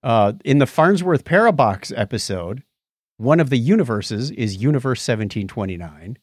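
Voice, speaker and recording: A man's voice: medium pitch, expressive, normal speed, a little mellow, breathy edge, dry recording, clean studio sound. A man co-hosting a podcast in casual chat, explaining things in a geeky, enthusiastic way. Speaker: podcast co-host.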